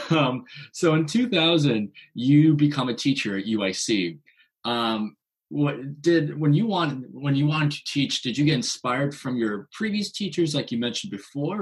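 A man's voice: mid-range voice, lively intonation, a little slow. A man interviewing a guest, attentive and giving short replies while the guest speaks. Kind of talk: interview conversation.

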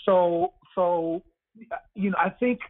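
A Venezuelan man in his forties with a Venezuelan Spanish accent and a fairly high voice. He chats casually as an interview guest, restarting words and leaning on "you know". The sound is dry and thin, like a phone line on a web call. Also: slow pace, no room sound. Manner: repeats words, many "you know" fillers, laughs easily. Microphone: over a web call.

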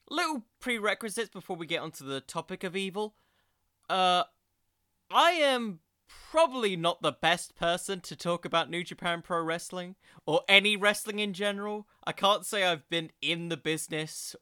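A man's voice: sits high, bright, forceful tone, no echo, clean studio sound. A young man hosting an informal solo podcast, rambling along in a jokey, self-deprecating way. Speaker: podcast host.

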